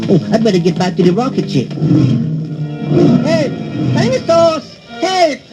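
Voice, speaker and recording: A timid, bumbling astronaut with a deadpan, literal-minded way of talking. His high voice swings widely through every line. A vintage comedy record with music behind. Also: takes it slowly, exaggerated voice, rough recording. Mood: bewildered. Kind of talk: 1960s comedy record acting.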